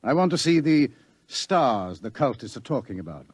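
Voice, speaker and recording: An actor playing a candid, thrill-seeking scientist in a radio drama. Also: male voice, medium pitch, sing-song, moderate pace, slightly rough, dry recording. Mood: bored eagerness.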